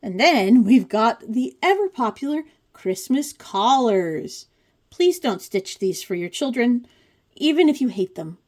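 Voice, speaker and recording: A woman's voice: fairly high pitch, very animated, a little slow, darkish tone. A woman in her forties hosting a craft video, talking casually through a flip-through with chatty, wry asides. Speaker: craft channel host. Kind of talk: casual commentary on a pattern book flip-through.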